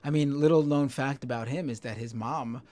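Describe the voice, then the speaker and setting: A man of middle pitch offers commentary as a podcast guest. He talks informally, often restarting and correcting his phrases as he goes. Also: moderate pace, darkish tone. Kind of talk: casual chat.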